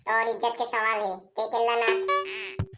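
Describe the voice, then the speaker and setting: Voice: mid-range voice, flat delivery, slow pace, sharp tone, faint music behind. A performer voicing a cheeky young village boy in a comedy cartoon, squabbling and complaining in a Chhattisgarhi accent. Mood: challenging. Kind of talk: comic cartoon dialogue. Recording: noisy track with clicks.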